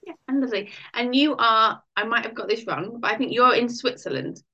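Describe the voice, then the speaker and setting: A woman's voice: fairly high pitch, brisk, bright, forceful tone. A woman hosting a podcast interview in a chatty, upbeat way, dropping in fillers like "like.". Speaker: podcast host, life coach. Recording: muffled lo-fi audio.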